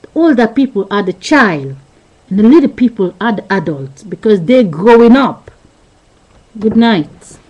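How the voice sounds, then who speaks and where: A woman's voice: medium pitch, animated, measured pace, darkish tone, lo-fi sound. A woman in her thirties giving a rambling, repetitive philosophical monologue into a webcam, insisting that her listener understand. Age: 30s. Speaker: vlogger. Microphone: webcam mic.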